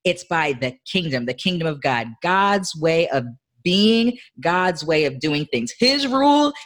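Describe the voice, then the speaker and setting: A woman's voice: low-pitched, expressive, measured pace. A woman speaking as a podcast guest in a conversational teaching style, emphatic and repeating phrases for stress, often checking in with short tag questions. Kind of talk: conversational teaching on faith and business.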